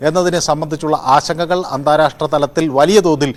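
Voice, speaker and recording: A man with a Kerala accent giving an unscripted live news report in halting, pause-filled phrases. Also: on the high side, steady tone.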